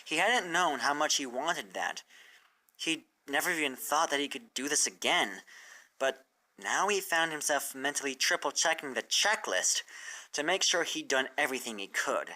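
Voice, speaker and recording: Storybook narrator, expressive read-aloud, bright tone, animated pitch. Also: moderate pace. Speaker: fan fiction narrator.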